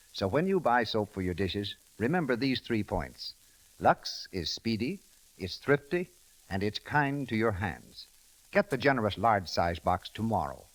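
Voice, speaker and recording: A man announcing and selling in a scripted 1930s radio style, on a crackly old broadcast. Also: on the low side.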